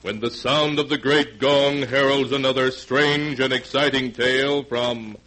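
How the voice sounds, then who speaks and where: A man hosting a 1940s radio horror series, narrating in a portentous, theatrical, measured style. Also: medium pitch, steady tone, heavy background noise. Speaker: radio announcer and host. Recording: vintage 1940s radio recording. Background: music.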